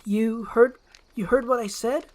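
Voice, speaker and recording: A woman's voice: a little high, steady tone, a little slow, darkish tone, pitched above the performer's own voice, natural voice. A woman voicing an anguished young princess under a curse, earnest and pleading in a dramatic storytelling roleplay. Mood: worried, hurt.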